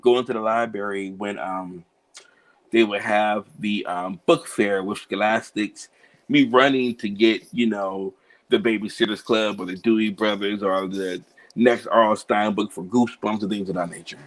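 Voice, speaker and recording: A man in his thirties from Cincinnati, a children's book author answering interview questions in a relaxed way, laughing easily and filling pauses with "um" and "you know". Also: fairly low pitch. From Cincinnati, USA.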